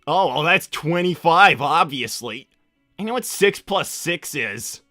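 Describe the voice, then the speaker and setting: A cocky teenage cartoon hedgehog, played by a man with a sharp, fairly high voice, chatting loosely on a livestream. He talks in a halting, casual slacker drawl and sounds easily exasperated. The sound is dry and close, with soft music behind. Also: lively intonation, no echo. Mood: deadpan. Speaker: livestream host answering viewer questions in character. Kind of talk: improvised in-character livestream chat.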